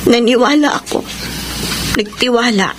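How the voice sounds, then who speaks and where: A woman's voice: a little high, normal speed, background noise, lightly acted. A woman acting a hurt, suspicious young girlfriend in a Filipino radio drama, with earnest, emotional delivery. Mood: hurt, accusing. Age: young adult. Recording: music under the voice.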